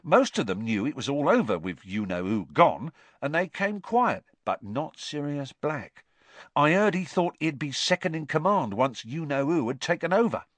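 A young bus conductor, eager and chatty, pitched well above the man who reads him and his own reading voice. He keeps gossiping and pulling his listener into agreement. The sound is close and dry. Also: sing-song, moderate pace, a bit bright, dry recording. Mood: excited gossip.

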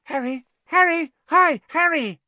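A man voicing an eager, starstruck young schoolboy who calls out excitably and insistently in an audiobook. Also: very high voice, unhurried, no room sound, pitched above the performer's own voice, full character voice. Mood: excited, starstruck.

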